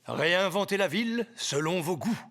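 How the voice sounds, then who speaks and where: A man's voice: high voice, expressive, moderate pace, a bit bright. A man voicing a scheming, deferential henchman in a French cartoon dub, coaxing his boss in formal terms.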